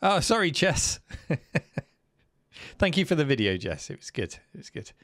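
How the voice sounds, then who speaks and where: A man's voice: fairly high pitch, big pitch swings, moderate pace, a bit bright, dry recording, studio quality. A man hosting a live stream, chatting and reacting to viewers' videos. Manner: chatty, enthusiastic. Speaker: host.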